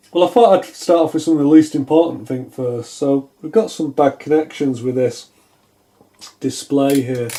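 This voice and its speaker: Brief: male hobbyist repairer, soft-edged mellow tone, casual and unsure, thinking aloud at the bench. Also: mid-range voice. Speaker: electronics repair hobbyist, video host. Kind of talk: casual hands-on repair commentary. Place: indoors at a wooden workbench.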